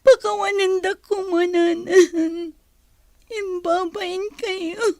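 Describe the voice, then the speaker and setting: Radio drama role: hurt, pleading young daughter actress, very high creaky voice, dry close sound. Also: female voice, steady tone, slow, darkish tone, dry recording, heavily acted. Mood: tearful, pleading. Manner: plaintive, emotional. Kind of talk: Ilocano radio drama acting.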